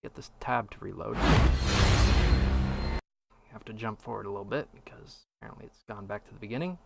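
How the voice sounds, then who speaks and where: A man's voice: lowish voice, a little fast, gravelly, over loud music. A man hosting a podcast, chatting casually and offering commentary into a streaming microphone. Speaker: podcast host.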